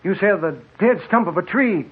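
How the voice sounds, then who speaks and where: An actor playing a puzzled, sceptical traveler with a husky voice, protesting in old-time radio horror style. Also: male voice, sits high, expressive, moderate pace. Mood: doubtful, agitated. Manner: questioning, protesting. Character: sceptical, puzzled male traveler, uneasy stranger. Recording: tinny vintage radio recording.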